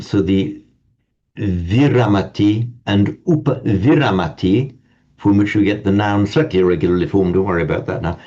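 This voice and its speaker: A man teaching a Sanskrit class in a relaxed lecture manner, often stammering and restarting words. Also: lowish voice, breathy edge. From parents from Ireland. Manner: hesitant, repeats and restarts words. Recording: dull lo-fi sound.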